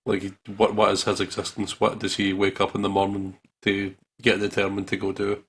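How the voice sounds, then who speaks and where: A man co-hosting a casual let's play. His voice is low and full of creak, with a forceful edge, and he talks in a joking, theatrical way. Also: completely flat delivery, moderate pace. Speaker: gaming video co-host.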